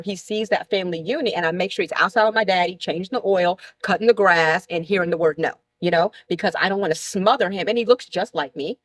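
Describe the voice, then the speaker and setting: A woman's voice: fairly low pitch, fairly bright tone. A woman in her late thirties telling blunt, laughing stories as a guest in a candid casual talk show chat. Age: 30s. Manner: brash, laughing, blunt storytelling.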